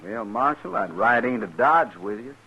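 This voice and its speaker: A man playing a proud, rough but honest cowboy, plain-spoken and earnest, in a 1950s radio western. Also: lowish voice, animated, normal speed, sharp tone, exaggerated voice. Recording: dull 1950s radio recording.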